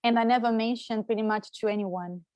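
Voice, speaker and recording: A woman's voice: on the high side, fairly even intonation, brisk, darkish tone, dry recording. A young adult woman from Brazil, a native Portuguese speaker, talking hesitantly in an interview with many fillers and repeated words. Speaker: guest. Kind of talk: casual interview talk.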